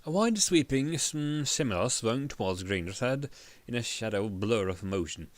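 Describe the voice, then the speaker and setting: Low-voiced man giving a dramatic fantasy reading. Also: animated, normal speed, dry recording. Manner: theatrical narration, stumbles over words. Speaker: reader.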